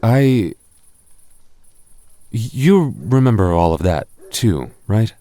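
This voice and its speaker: An actor playing a gentle, nervous goth boyfriend in an ASMR roleplay, soft-spoken and stammering when flustered. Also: male voice, fairly low pitch, sing-song, extremely slow, no room sound, exaggerated voice.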